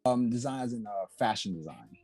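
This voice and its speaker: A young American man introduces himself with many pauses and fillers over a video call. His voice is very deep and dry, with no room sound. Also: expressive, mellow, breathy edge, dry recording, faint music behind. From United States. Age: 20s. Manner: hesitant, many "um"s. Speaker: guest. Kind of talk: casual self-introduction.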